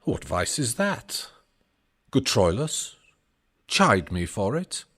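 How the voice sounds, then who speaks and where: A man's voice: fairly low pitch, very animated, slow pace, a bit bright, breathy edge, full character voice. A man playing a noble, resolute Trojan warrior prince in a Shakespearean audio drama, stately and commanding. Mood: genial, chiding.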